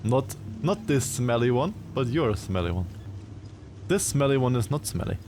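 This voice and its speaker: A man's voice: mid-range voice, big pitch swings, moderate pace, background noise. A man with a German accent, a native German speaker, chatting casually and teasingly with viewers while he plays a game on a live stream. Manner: playful, teasing, chuckles often. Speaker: VTuber streamer.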